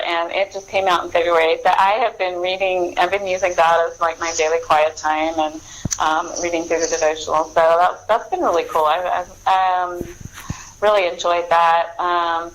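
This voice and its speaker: Middle-aged woman chatting, halting and self-correcting. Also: fairly low pitch, a little hiss or hum, rough recording. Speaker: author interview guest. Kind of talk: casual interview chat.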